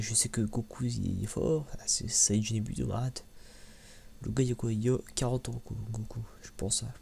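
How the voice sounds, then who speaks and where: A soft-spoken man gives casual ASMR-style commentary, halting and rambling, repeating words and using slang. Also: mid-range voice, gravelly, slight background noise. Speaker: ASMR video creator.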